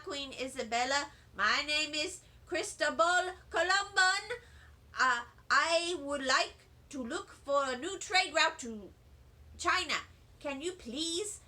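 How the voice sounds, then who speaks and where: A woman's voice: sits high, animated, takes it slowly, sharp tone, exaggerated voice. A woman voicing a boastful young schoolboy playing Columbus in a class play, with grand, theatrical delivery. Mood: proud.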